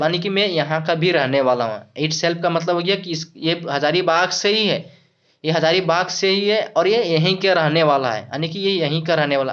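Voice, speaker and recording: A man teaching English to Hindi speakers. He repeats himself, restarts words and slows right down when he says the English examples. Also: fairly high pitch, fairly bright tone, smooth. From Jharkhand, India. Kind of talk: explaining English phrases in Hindi. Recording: dull lo-fi sound.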